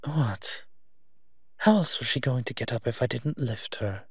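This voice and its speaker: A man playing a wry, teasing monster who partners a human detective in a roleplay audio drama, speaking with hums and pauses. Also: mid-range voice, husky, dry recording, clean studio sound. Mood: smug, amused.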